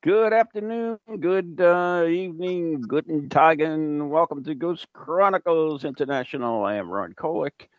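A man's voice: on the high side, unhurried, few pauses, no room sound. An American man hosting a podcast with casual, playful, teasing chat. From New England, US. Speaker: podcast host. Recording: dull lo-fi audio.

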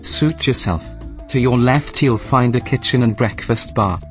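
A man playing a formal, unflappable artificial mind guiding someone through an earpiece in an audio drama, in a deadpan, procedural manner. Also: medium pitch, unhurried, faint music behind, natural voice.

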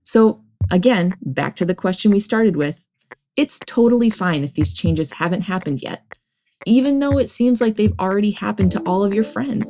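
A woman hosting an educational podcast, reading a scripted narration in a gentle, reassuring manner. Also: mid-range voice, lively intonation, normal speed, poor audio. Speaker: podcast host. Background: background music.

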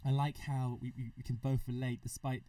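A man from Mexico hosting a casual podcast chat, talking quickly and rambling with repeated words. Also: mid-range voice, level tone, mellow, no echo. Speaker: podcast host. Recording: distant mic in a dry room.

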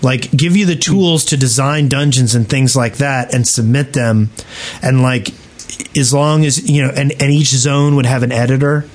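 A man's voice: mid-range voice, fairly even intonation, moderate pace. A man hosting a podcast, speaking in a casual, opinionated way with the group. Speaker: podcast host.

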